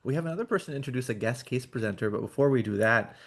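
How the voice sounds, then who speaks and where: A man's voice: mid-range voice, lively intonation, very fast, vocal fry. A man hosting an online case conference, upbeat and chatty with many fillers, over a video call. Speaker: host.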